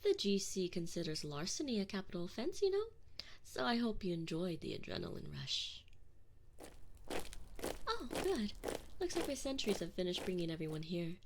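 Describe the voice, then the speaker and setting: A woman's voice: medium pitch, sing-song, slight background noise. A woman voicing a sadistic, smug female alien enforcer in an ASMR roleplay, taunting and condescending, sometimes dropping to a whisper. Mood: mocking, condescending. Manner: slow, taunting, condescending, slipping into breathy whispers. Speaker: audio roleplay performer. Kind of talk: ASMR roleplay acting, addressing the listener.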